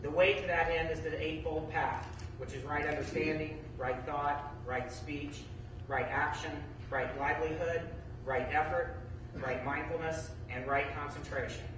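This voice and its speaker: A man giving a prepared spoken personal narrative in a measured, declarative way, phrase by phrase. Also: fairly high pitch, fairly even intonation. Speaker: speaker telling a personal faith story. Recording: echoey room, distant mic, clear background noise.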